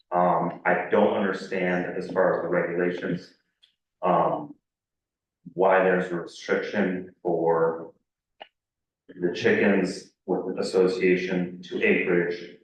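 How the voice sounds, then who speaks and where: A very echoey, distant recording of an American man with a low voice. He gives plain-spoken, halting public comment as a town resident. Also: slight background noise. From Connecticut, United States. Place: indoor public meeting room. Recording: very echoey meeting room, distant muffled mic.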